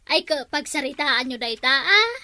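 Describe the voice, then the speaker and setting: A woman's voice: high voice, expressive, normal speed, pushed and bright, dry recording, full character voice. A woman voicing a nosy village woman in a comedy drama who eagerly passes on gossip. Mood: gossipy.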